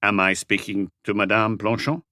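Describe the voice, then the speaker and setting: A middle-aged male detective in an audiobook read by a man, reserved and evasive. The voice is low and understated, never committing much, recorded dry. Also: level tone, normal speed, bright, forceful tone, no room sound. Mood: politely probing.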